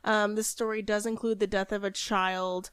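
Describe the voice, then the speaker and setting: A woman's voice: mid-range voice, little pitch movement, moderate pace, fairly bright tone, clean tone, no echo, studio quality. A woman co-hosting a podcast, joking with friends and then reading out a story. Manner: laughing, playful, reads stories aloud. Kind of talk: casual chat and story reading.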